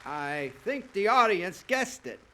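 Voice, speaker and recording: Man playing an exasperated, lively-pitched radio husband. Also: slow, some chatter behind, full character voice. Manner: dry, incredulous. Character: exasperated, skeptical husband, dry straight man. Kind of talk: 1940s radio comedy acting.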